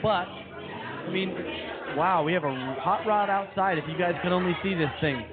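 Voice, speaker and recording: A man's voice: high voice, normal speed. A man co-hosting a live radio show, chatting casually about sports. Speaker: radio co-host. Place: brewery venue, live broadcast. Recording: thin phone-like sound with crowd chatter.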